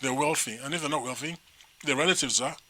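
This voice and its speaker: A middle-aged man from Nigeria talks casually on a talk show, with a very bright, sharp tone. He rambles and restarts, and his pitch sits in a middle range. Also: quick pace, low-quality recording. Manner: rambling, animated storyteller with restarts. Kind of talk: casual chat.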